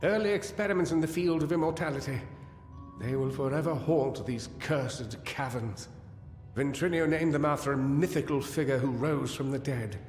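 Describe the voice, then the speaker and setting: An actor playing a weary, guilt-ridden, paranoid gnome scholar in a fantasy video game, theatrical and anguished, halting as he muses. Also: medium pitch, a little mellow, lightly acted. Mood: grave. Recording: echoey cavern-like reverb over music.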